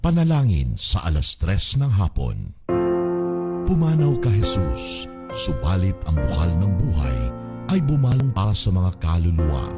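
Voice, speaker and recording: Deep male prayer reader over loud music. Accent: Filipino. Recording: loud music bed under voice.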